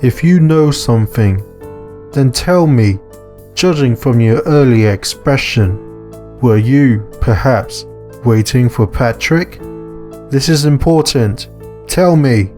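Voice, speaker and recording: A man playing a big, earnest, suspicious man in a visual novel, speaking in a deliberate, questioning way. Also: medium pitch, expressive, darkish tone, soft background music. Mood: pressing.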